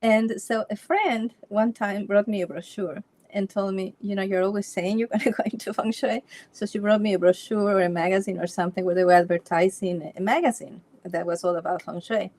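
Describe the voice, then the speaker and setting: Ecuadorian woman chatting in an interview, restarts a lot, laughs softly between thoughts. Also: medium pitch, fairly even intonation, brisk, no echo. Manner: storytelling with frequent restarts and light laughs. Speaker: Feng Shui consultant, interview guest. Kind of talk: casual interview chat.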